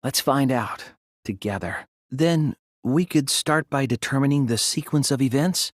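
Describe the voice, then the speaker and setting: A man voicing an earnest, soft-spoken and hesitant teenage boy detective in a dubbed video game, explaining things carefully. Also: mid-range voice, unhurried, no echo, clean studio sound, natural voice. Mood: solemn conviction, then careful reasoning.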